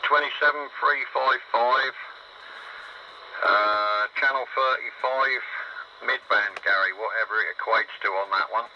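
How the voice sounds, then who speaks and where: A man with a British accent chatting casually over CB radio, rambling and matey, with hesitant pauses. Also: medium pitch. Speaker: CB radio operator. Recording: muffled, very noisy CB radio signal. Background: loud radio static and hiss.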